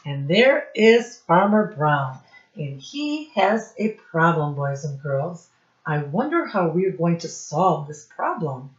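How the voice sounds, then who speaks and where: Lady teacher doing storytime for little kids, really slow n clear emphasis, encouraging, kinda muffled lofi audio. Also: low voice, sing-song, reverberant. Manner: didactic, encouraging, addresses children directly, emphatic on key words. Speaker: teacher reading a storybook aloud. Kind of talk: children's read-aloud with questions to young listeners. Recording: dull lo-fi recording.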